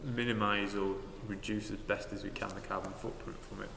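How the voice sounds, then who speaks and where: A Northern English man speaks on a panel in a very deep voice that barely rises or falls, measured and matter-of-fact. The sound is roomy and echoey, with a long church reverb and clear background noise. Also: little pitch movement, bright, forceful tone. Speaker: university sustainability manager on a panel. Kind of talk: live panel talk in a church. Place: large parish church.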